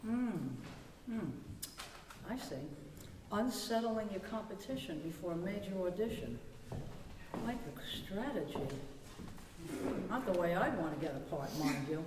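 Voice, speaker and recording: A woman's voice: medium pitch, animated, unhurried, pauses often, lightly acted. A woman playing a haughty ghost of a film star on stage, grand, arch and disdainful. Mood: arch, needling. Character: haughty female ghost diva, vain old-time film star. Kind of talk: live stage play acting. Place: theater stage with audience, far camera. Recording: echoey hall, distant camera, noisy.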